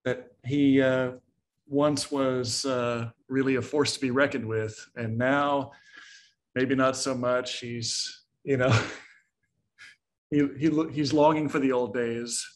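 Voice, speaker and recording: A man's voice: medium pitch, steady tone, slow pace. A man volunteering in an online class, talking casually and hesitantly, with a self-deprecating manner. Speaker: workshop volunteer. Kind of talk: casual, thinking aloud. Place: online video call.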